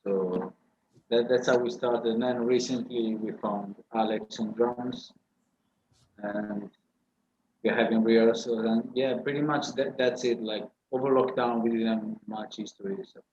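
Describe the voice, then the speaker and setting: A man's voice: medium pitch, a little slow. Man, slightly muffled. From Italy. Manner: relaxed, filler-heavy, loose phrasing. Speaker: band member, guest. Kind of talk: casual interview answers. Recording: slightly muffled, faint background hiss.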